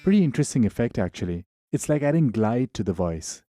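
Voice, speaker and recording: A man narrating a software tutorial in an informal, explanatory way. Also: mid-range voice, very animated, fast talker, music in the background.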